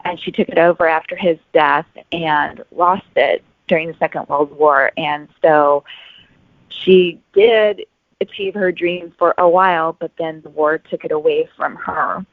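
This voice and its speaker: A woman's voice: sits low, fairly even intonation, a little slow, no echo. A woman author giving explanatory answers as an interview guest. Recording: narrow phone-like sound, very dry.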